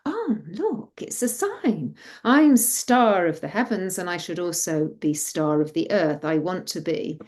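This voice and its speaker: A woman's voice: medium pitch, sing-song, normal speed, a little mellow, natural voice. A woman voicing a sweet, eager young goddess daughter in oral myth storytelling, with a coaxing, hesitant, girlish manner and sly determination. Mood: wondering, eager.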